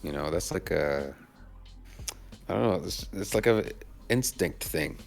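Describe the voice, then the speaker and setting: Podcast guest, male, from Nigeria, very deep voice with gravel, relaxed and reflective. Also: unhurried, faint music behind. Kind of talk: casual chat.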